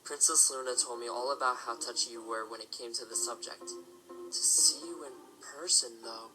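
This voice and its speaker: An actor playing a composed, protective middle-aged pony father and castle official in an audio drama, measured and formal. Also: mid-range voice, music in the background, close to an everyday voice, rough recording. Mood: calm, wry.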